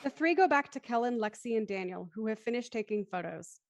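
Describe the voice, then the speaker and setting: A woman's voice: fairly high pitch, animated, fairly quick, gravelly, no echo. A woman reading scripted scene narration for an audio play as its narrator.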